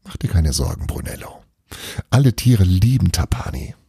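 A man's voice: low-pitched, big pitch swings, moderate pace, vocal fry, mellow, breathy edge, no echo, full character voice. A man voicing a stern, unimpressed female magician in a children's story, with a dry, commanding manner.

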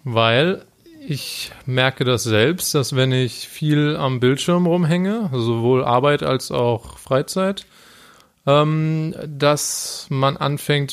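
A man's voice: mid-range voice, steady tone, slow pace, clean tone. A young man chatting casually and reflectively as a podcast co-host, with a dry sense of humor. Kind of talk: casual chat.

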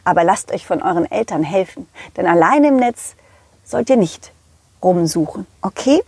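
A woman's voice: lowish voice, expressive, normal speed, a little mellow, slightly rough, no room sound. A woman puppeteer narrating for children, speaking to them directly and playfully. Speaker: puppeteer and storyteller.

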